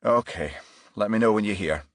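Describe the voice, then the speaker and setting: A man voicing a brisk, irritable detective in charge, clipped and commanding, in a crime audiobook. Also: on the low side, fairly bright tone, dry recording, studio quality.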